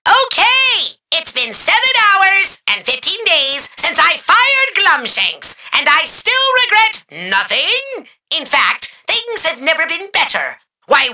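A man playing a pompous, short-tempered evil overlord in a video game, ranting in a shrill, grandiose, theatrical way. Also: sharp tone, heavily acted. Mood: defensive. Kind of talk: exaggerated cartoon villain monologue.

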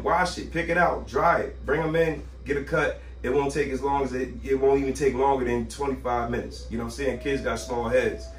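A man in his thirties, a barber talking to camera in a casual, slangy, exasperated rant with emphatic delivery. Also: mid-range voice. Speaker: barber, video host. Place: small indoor barbershop room. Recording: roomy echo, distant mic, faint noise.

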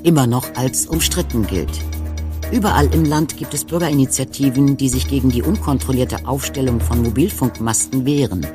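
An actor playing a measured, formal male radio presenter reading a scripted announcement. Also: mid-range voice, music in the background, lightly acted. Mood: formal, informative.

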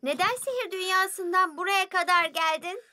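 A woman's voice: very high voice, normal speed, bright, forceful tone. A woman voicing a friendly young talking bus in a children's cartoon dub, childlike and direct. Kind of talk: animated children's series dub. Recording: music behind the voice.

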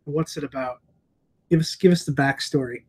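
A man's voice: on the high side, moderate pace, dark tone, breathy edge. A middle-aged man hosting a casual interview over a video call. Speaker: host. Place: indoor room at home or shop, on a video call.

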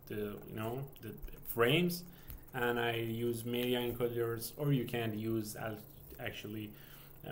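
A man's voice: mid-range voice, slight background noise. A man in his thirties from Iran with a Persian accent, speaking English as a second language and hosting a casual screen-share walkthrough full of fillers and restarts. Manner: hesitant, many fillers and restarts. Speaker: game developer vlogger. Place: home office, indoors.

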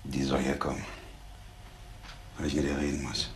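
A man's voice: extremely low pitch, level tone, normal speed, background noise. An actor playing a domineering, hot-tempered man in a crime radio drama. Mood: grim.

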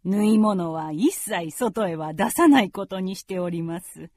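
A woman's voice: mid-range voice, unhurried, a little mellow, no room sound, clean studio sound, natural voice. A woman playing a genteel, fretful middle-aged mother in a radio drama, with very polite old-fashioned feminine speech. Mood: genteel, proud. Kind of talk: Japanese radio drama acting.

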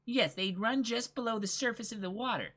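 A man's voice: extremely high pitch, brisk, pushed and bright, voice pushed up, heavily acted. A man voicing a cheerful, chatty little girl who explains things in a manga reading. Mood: cheerful, proud.

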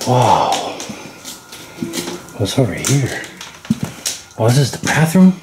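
A man's voice: mid-range voice, very animated, slow. A man in his thirties exploring an abandoned house on a vlog, talking to viewers in an awed, chatty way. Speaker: urban explorer vlogger. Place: inside an abandoned house and garage. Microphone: handheld camera mic. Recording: echoey empty rooms with background noise.

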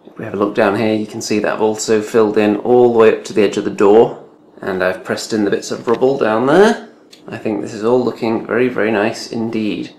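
Man, lowish voice, dry understated host narrating a home build, echoey room. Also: darkish tone. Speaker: hobby video creator. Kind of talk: casual narrated build log.

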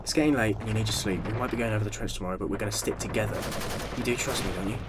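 A man's voice: on the low side, rapid-fire, lightly acted. A man playing a protective young First World War soldier, afraid but brave, in a radio drama. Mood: tender, subdued. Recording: noisy, with gunfire and artillery behind.

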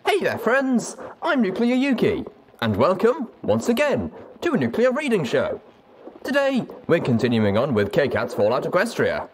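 Male podcast host for a scripted intro, theatrical DJ delivery with hugely varied pitch. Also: huge pitch swings, moderate pace, background noise.

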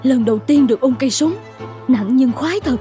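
Lady doing a plucky young cartoon soldier girl, high voice, spirited, faint music behind. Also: brisk, mellow, lightly acted. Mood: gleeful. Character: plucky young woman soldier disguised as a man. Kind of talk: cartoon dubbing.

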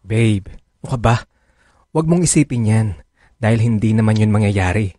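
Actor as doting Filipino boyfriend, dry sound. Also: male voice, lowish voice, moderate pace, dark tone, no echo, natural voice. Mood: reassuring. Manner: soothing, casual. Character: doting, reassuring boyfriend having an affair. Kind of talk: Filipino radio drama acting.

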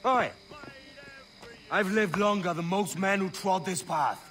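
An actor playing a roguish young pirate captain in a video game, with a blunt, salty manner. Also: male voice, high-pitched, slow pace, heavily acted. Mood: indignant. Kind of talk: video game cutscene acting. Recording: loud music behind the voice.

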